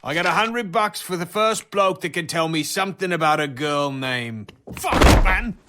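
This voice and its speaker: High-voiced brash man played by an actor. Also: normal speed, very noisy, natural voice. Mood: brash, urgent. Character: brash, swearing man offering cash for information. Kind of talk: dramatic video game acting.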